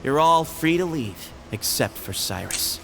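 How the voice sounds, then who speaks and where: An actor playing a self-assured young male leader in a video game, measured, formal and cool. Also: fairly high pitch, lively intonation, creaky, fairly bright tone, slight background noise, exaggerated voice. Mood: cool, commanding.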